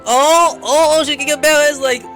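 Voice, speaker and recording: A woman doing playful, casual gameplay commentary in an excitable, giggly, exclamatory way. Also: high-pitched, a little fast. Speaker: gamer reacting to a story quest. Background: game music.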